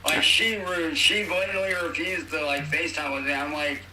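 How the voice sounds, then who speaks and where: A man's voice: a little high, fairly even intonation, a little fast, bright, forceful tone. A young man chatting casually and slangily on an echoey, distant, noisy phone recording. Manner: slangy, swearing. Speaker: caller.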